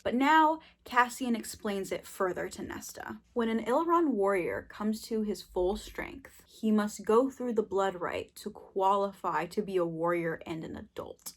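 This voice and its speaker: A young woman giving an informal, emphatic book recap with casual swearing, partly scripted, as a book reviewer. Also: mid-range voice.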